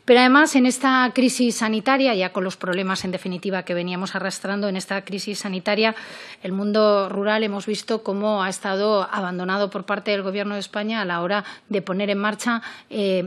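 A woman in middle age speaks with a central Spanish accent and a medium-pitched voice. She delivers a formal parliamentary intervention into a desk mic. Her manner is earnest, pausing and restarting as she works through her notes. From Castilla-La Mancha, Spain. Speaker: member of parliament. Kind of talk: formal committee speech from notes. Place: parliamentary committee room. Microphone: gooseneck desk microphone.

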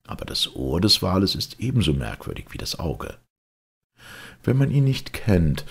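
A man reading a literary audiobook as narrator, in a measured, expressive storytelling manner. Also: very deep voice, creaky, husky.

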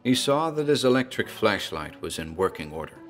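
A man's voice: lowish voice, sing-song, fairly quick, a bit bright, soft background music. A man narrating a horror tale in a theatrical, storytelling manner, as a tavern landlord.

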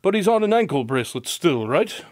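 A man's voice: a little high, big pitch swings, a little fast, dry recording. A man playing a shrewd, confident detective in a radio drama, speaking in a wry, clipped manner. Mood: probing, wry. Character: Spencer Holmes, shrewd, wry male detective.

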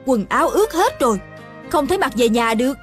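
Nagging cartoon mom, high voice, southern vietnamese accent, music behind. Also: female voice, lively intonation, fast talker. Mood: exasperated. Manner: scolding, instructing. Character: brisk, nagging young mother of a small boy.

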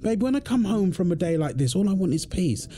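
A man's voice: sits high, big pitch swings, fairly quick, warm tone. A man playing a tired, irritable firefighter husband in an intimate roleplay audio drama. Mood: frustrated, pleading. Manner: weary sighs, snappy, then apologetic.

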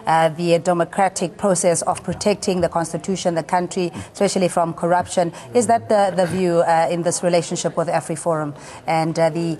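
A woman in her thirties with a South African accent, hosting a studio current affairs panel with halting, searching questions. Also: lowish voice, fairly even intonation, dark tone. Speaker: TV panel host.

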